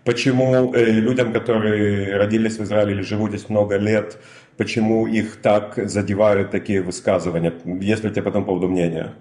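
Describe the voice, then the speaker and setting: A man's voice: fairly low pitch, level tone, fairly quick, a little mellow, roomy sound. A man hosting a podcast, asking long, probing questions. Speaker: podcast host. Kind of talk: interview questions.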